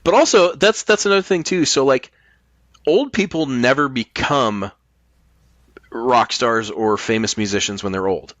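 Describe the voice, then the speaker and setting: A man's voice: mid-range voice, a little slow, a bit bright, no echo. A middle-aged American man in casual, opinionated chat, making his points emphatically and swearing freely.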